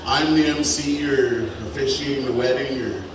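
A man's voice: medium pitch, fairly even intonation, moderate pace. A man in his thirties giving a jokey, swearing wedding toast into a handheld mic in a big tent, now and then getting choked up. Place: large open-sided wedding tent. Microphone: handheld mic over speakers, filmed by a far phone. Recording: echoey PA sound from far off, noisy.

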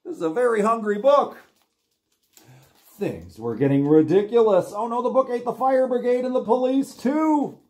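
A middle-aged American man reading a picture book aloud to young children in an animated, encouraging way. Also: extremely high pitch, normal speed. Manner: animated, exclaiming, simple encouraging talk to little ones. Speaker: children's librarian reading online storytime. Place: small plain room indoors.

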